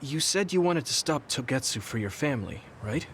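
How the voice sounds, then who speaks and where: A man's voice: medium pitch, expressive, a little fast, fairly bright tone, slight background noise, natural voice. An actor playing an earnest, questioning young male soldier in a video game cutscene. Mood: puzzled, earnest. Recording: music behind the voice.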